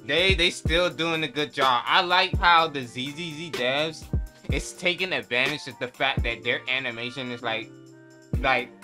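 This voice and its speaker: A man's voice: mid-range voice, normal speed, music in the background. A man gives casual, hyped, slangy and profane reaction commentary. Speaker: reaction video host.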